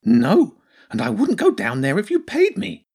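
A fussy, cautious little spiny creature from a storybook, voiced by a man, pitched high with big swoops. He frets and repeats himself, blunt and matter-of-fact about bad news. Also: huge pitch swings, measured pace, gravelly, darkish tone, breathy edge, full character voice. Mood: fretful, dismissive.

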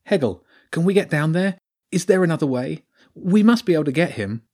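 A man's voice: mid-range voice, very animated, moderate pace. A man voicing a brave, determined little girl in a storybook, with a firm, earnest and urgent manner. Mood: desperate.

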